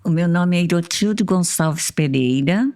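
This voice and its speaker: A woman in her seventies with a Brazilian Portuguese accent, slowly and reflectively recalling her years as a social worker in an interview. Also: on the low side, darkish tone. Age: 70s. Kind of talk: recorded interview testimony.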